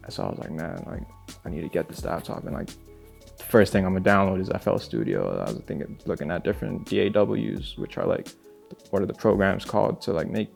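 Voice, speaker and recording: A man's voice: deep voice, gravelly. A young man who grew up in Spain, telling his story in an interview in a loose, rambling way full of "like" fillers. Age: young adult. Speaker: music producer.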